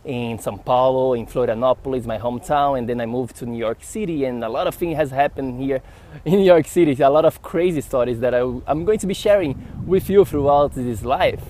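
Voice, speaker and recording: A young man from Brazil with a Brazilian Portuguese accent, telling a story to camera in a casual, animated way and acting out the dialogue. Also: brisk. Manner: animated, acts out dialogue with rising pitch. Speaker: health vlogger. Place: outdoors in a city. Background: traffic noise.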